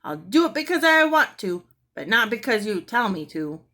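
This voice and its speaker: A woman voicing a rude female pet parrot that squawks and yells repeated sassy phrases in a storybook reading. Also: sing-song, moderate pace. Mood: defiant, scornful. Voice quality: squawky, shrill.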